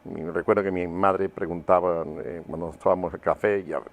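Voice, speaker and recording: A man's voice: low-pitched, steady tone, fairly quick. An older man from southern Spain, telling personal memories as an interview guest in a hesitant, reflective way. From Huelva, Andalusia, Spain. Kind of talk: personal testimony in conversation.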